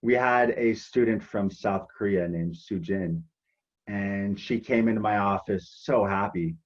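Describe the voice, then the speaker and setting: A man presenting university programs in a measured, scripted manner. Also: fairly low pitch, lo-fi sound. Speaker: international outreach presenter.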